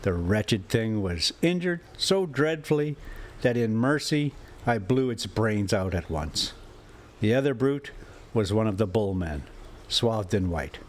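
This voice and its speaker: A man reading a classic adventure story aloud in deliberate, theatrical phrases broken into short clauses. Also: fairly low pitch, slight background noise. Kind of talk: dramatic audiobook-style narration of an adventure story. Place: inside a moving motorhome.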